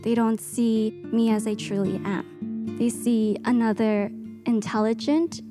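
A woman's voice: fairly high pitch, steady tone, unhurried, over music. Earnest young woman from Hong Kong. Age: young adult. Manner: earnest, reflective storytelling. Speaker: student. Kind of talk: personal testimony.

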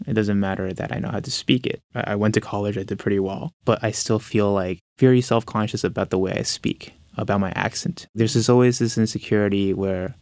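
一位二十多岁的美国男子，声音低沉沙哑，说话犹豫谨慎，录音干净贴近，没有混响。. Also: male voice, on the low side, fairly even intonation, a little mellow, no echo, studio quality. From United States. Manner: hesitant and careful, with many pauses and restarts. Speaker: interviewee. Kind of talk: personal interview talk.

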